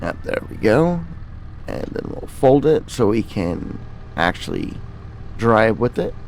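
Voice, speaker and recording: A man's voice: on the low side, big pitch swings, slow pace, slight background noise. A man hosting a gaming video, giving casual commentary and thinking aloud through each step. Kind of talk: casual gameplay commentary with roleplay.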